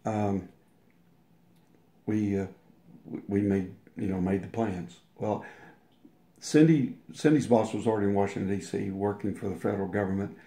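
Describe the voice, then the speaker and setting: Gravelly older man spinning a story. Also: lowish voice, normal speed, pauses often, mellow. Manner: rambling anecdotes with restarts and acted-out quotes. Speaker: interview guest. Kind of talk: casual storytelling. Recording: roomy echo.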